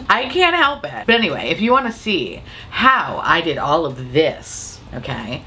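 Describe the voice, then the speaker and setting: A young woman with a slightly raspy voice from a cold, chatting playfully and profanely while trying on makeup at home. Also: sits low, sing-song, unhurried, pushed and bright, slight background noise. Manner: playful, profane, self-mocking. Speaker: beauty vlogger. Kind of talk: casual makeup vlog chat.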